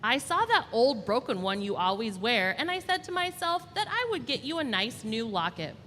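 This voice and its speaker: A woman's voice: on the high side, very animated, moderate pace, a bit bright. A woman voicing a kindly, generous rich man from a children's storybook. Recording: reverberant hall, faint background noise.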